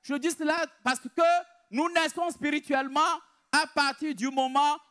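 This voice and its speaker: A man preaching a sermon in an emphatic, deliberate way, repeating his key phrases. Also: very high-pitched, steady tone, bright, forceful tone.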